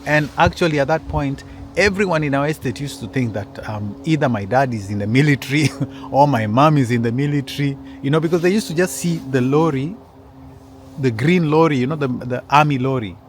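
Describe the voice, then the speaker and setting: A man's voice: mid-range voice, fairly quick, a little hiss or hum. Rambling storyteller man. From Kenya. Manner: rambling, with frequent restarts and asides to listeners. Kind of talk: casual personal storytelling to an audience.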